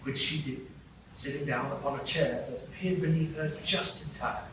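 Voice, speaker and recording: A man narrating a live radio play in a measured storytelling manner. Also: on the high side, steady tone, fairly bright tone. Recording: echoey live stage from far off, with noise.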